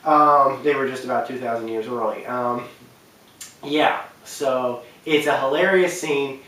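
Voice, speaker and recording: A man's voice: mid-range voice, unhurried, a bit bright. A man hosting a countdown video with enthusiastic, rambling casual commentary. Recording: very echoey room, distant mic.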